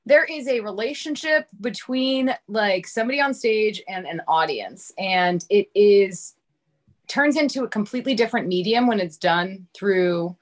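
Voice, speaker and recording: A woman in her late thirties talks as a guest on a podcast, with a mid-range pitch. She is candid and informal, with a self-deprecating way of talking and plenty of "like" in her sentences. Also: expressive, normal speed. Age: 30s. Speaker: podcast guest, comedian and content creator. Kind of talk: casual, candid conversation.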